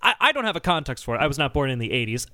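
A man's voice: a little high, very animated, quick pace, bright, forceful tone, dry recording, studio quality. A young American man bantering casually as a podcast co-host, with a sarcastic, joking manner.

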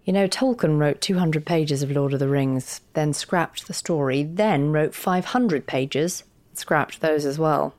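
An actress playing a frank, witty, encouraging partner of a writer, articulate and wry, in naturalistic audio fiction. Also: female voice, low voice, measured pace, few pauses, darkish tone. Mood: wry, reassuring.

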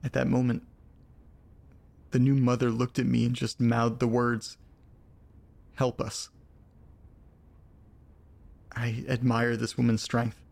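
A man playing a troubled, profane reader of strange letters in an audio drama, speaking in a deliberate storytelling way. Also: medium pitch, completely flat delivery, creaky, darkish tone. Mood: tense, haunted.

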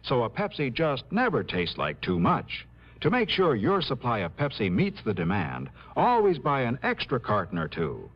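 A man's voice: mid-range voice, huge pitch swings, moderate pace. A man working as a commercial announcer, delivering a scripted 1960s radio advertisement. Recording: dull old radio broadcast recording.

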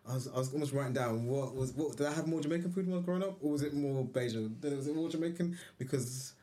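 A man's voice: medium pitch, fast, mellow. A man talking as a guest on a casual podcast, thoughtful and often restarting his sentences. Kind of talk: casual conversation.

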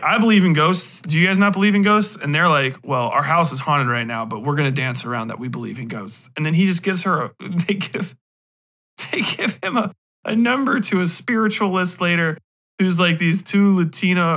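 A man's voice: fairly high pitch, moderate pace, sharp tone. A man hosting a podcast, casually ranting about a film in a blunt, mocking, profane way and acting out bits of dialogue. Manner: acts out imagined dialogue while retelling scenes. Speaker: podcast host. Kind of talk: casual, rambling comedic film rant.

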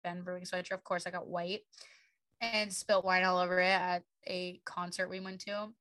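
A young woman with a raspy voice, co-hosting a podcast in giggly casual chat. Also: lowish voice, flat delivery, moderate pace, fairly bright tone, dry recording. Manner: chatty, giggly. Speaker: podcast co-host.

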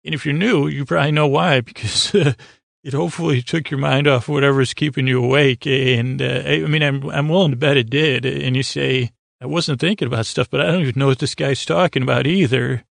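Man, medium pitch, heavy vocal fry, soothing rambling bedtime monologue, stammering and meandering, dry close sound. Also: fairly even intonation, fairly quick, no echo. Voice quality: creaky. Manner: hesitant, meandering with stammers and trailing tangents; soothing, lulling delivery. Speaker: podcast host.

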